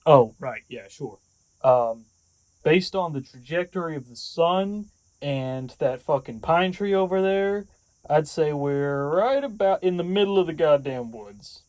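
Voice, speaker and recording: A man's voice: fairly high pitch, lively intonation, a little slow, a little mellow, no echo, poor audio. A man playing a sarcastic, distrustful, impatient younger man in an audio drama, blunt and sardonic. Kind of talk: audio fiction podcast acting.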